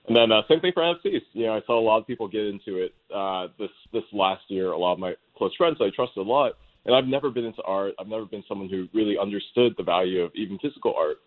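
A man's voice: lowish voice, fast, hardly any pauses, narrow, tinny audio. A man talking casually as an interview guest, telling his own story in an informal, anecdotal way. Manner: informal, self-quoting storyteller. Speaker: interview guest, startup co-founder.

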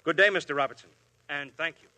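An actor playing a shrewd police inspector who questions people with smooth persistence, in a 1950s radio drama. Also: male voice, a little high, quick pace, background noise, close to an everyday voice. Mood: probing. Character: shrewd, probing middle-aged police inspector.

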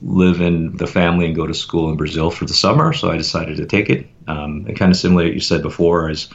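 A man telling his story as a podcast guest in a relaxed, reflective way, pausing often with fillers. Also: low voice, creaky, lo-fi sound. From United States. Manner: reflective, meandering with many fillers. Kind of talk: casual conversational interview.

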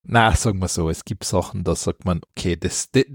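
A man's voice: deep voice, a little fast, dry recording, studio quality. A man with an Austrian accent co-hosting a podcast in casual, opinionated chat, often repeating words as he starts a thought. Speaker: podcast co-host.

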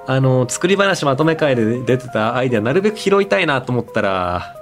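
A man's voice: medium pitch, sing-song, fairly quick, soft background music. A man co-hosting a casual podcast chat in an easygoing, agreeable manner. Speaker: podcast co-host and drama writer.

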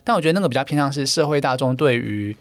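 A man, a podcast guest chatting casually and gently, with frequent laughs and fillers. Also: mid-range voice, lively intonation, rapid-fire, dry recording. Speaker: podcast guest, event founder. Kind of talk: casual interview chat.

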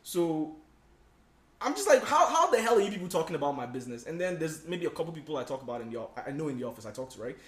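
Fast-talking Nigerian-accented man. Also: on the high side, big pitch swings, fairly bright tone. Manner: fast, emphatic, rants with rhetorical questions. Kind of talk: casual chat. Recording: roomy echoey room.

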